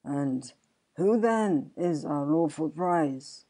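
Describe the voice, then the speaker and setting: A low, slow-speaking goblin voiced by a woman, stern and taunting, straight out of a storybook. The tone is dark and deliberate, full of unearthly menace. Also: slightly rough, exaggerated voice. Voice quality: deep. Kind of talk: dramatic storybook reading.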